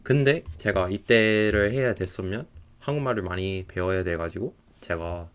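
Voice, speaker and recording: Young male streamer, American accent, low-pitched, easygoing and rambling. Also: normal speed, clear, resonant tone. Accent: American English. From United States (Texas). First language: English. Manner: relaxed, rambling, laughs often. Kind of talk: casual chat while drawing.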